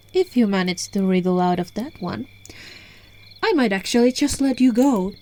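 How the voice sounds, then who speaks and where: Woman playing a slow, seductive, controlling witch. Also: darkish tone, slight background noise. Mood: stern, controlling. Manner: slow, coaxing, breaking into whispers and giggles. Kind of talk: ASMR roleplay. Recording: phone microphone recording, low quality.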